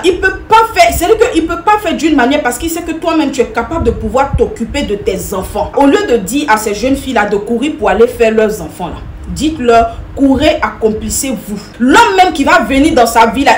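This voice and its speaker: Woman in her 30s, emphatic advice vlogger, slightly high voice, roomy echo. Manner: emphatic, repetitive, addresses viewers directly. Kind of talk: direct-to-camera opinion talk. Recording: echoey room with faint noise.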